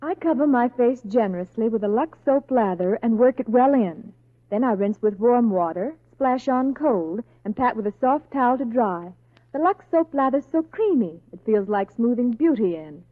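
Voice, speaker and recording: A woman gives a scripted testimonial for an old radio commercial. Her voice is fairly high and sounds thin and phone-like. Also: animated, normal speed. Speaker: testimonial speaker in a soap advert. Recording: tinny, narrow-band vintage radio recording.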